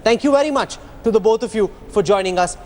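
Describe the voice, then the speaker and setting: A man's voice: high voice, fast, darkish tone, a little hiss or hum. A man with an Indian accent anchoring a broadcast news interview, asking probing questions with dramatic phrasing.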